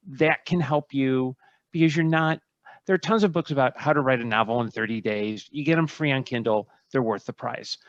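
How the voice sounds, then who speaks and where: Male panelist, slightly high voice, conversational advice, dry and somewhat muffled lo-fi sound. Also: no echo.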